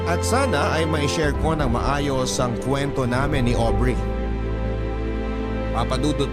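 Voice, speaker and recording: Filipino male storyteller over loud music. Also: mid-range voice. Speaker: host reading listeners' love letters. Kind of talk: dramatic scripted first-person narration. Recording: loud music bed behind the voice.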